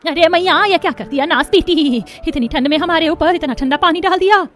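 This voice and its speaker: A woman's voice: extremely high pitch, fairly quick, a bit bright. A woman with an Indian accent voicing a scolding, easily flustered middle-aged mother-in-law in a family cartoon, dramatic and full of exclamations. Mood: scolding, annoyed. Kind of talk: animated moral-story voice acting.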